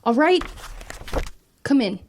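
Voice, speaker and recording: A wry teenage girl, played by a woman in an audio drama. She has a slightly high voice and talks in a casual, dry way, like a guarded but protective older sister. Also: big pitch swings, slow pace, a little hiss or hum, heavily acted. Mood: casual, teasing.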